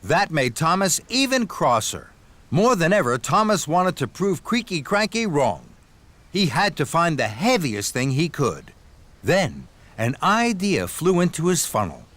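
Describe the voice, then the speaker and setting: Slow, animated male children's narrator. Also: on the high side. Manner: expressive, deliberate storytelling. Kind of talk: scripted children's story narration. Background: music.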